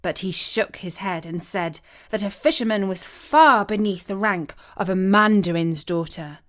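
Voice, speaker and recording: Slow, expressive woman storyteller. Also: mid-range voice. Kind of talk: scripted dramatic story narration. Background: music.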